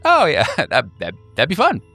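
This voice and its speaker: A man's voice: sits high, huge pitch swings, normal speed, pushed and bright, dry recording, soft background music, voice pushed up, full character voice. A man voicing an eager, scatterbrained night-owl friend who rambles and stammers in a tabletop game. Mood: friendly, rambling.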